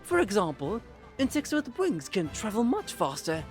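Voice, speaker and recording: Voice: medium pitch, wildly swooping pitch, moderate pace, faint music behind. An actor playing a polite, eager alien host with formal, precise phrasing in an audio drama. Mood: polite, earnest.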